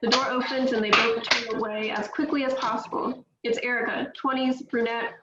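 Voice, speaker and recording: A woman reading stage directions in a steady, matter-of-fact way at a table read. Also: on the high side, normal speed. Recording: echoey, dull, faint noise.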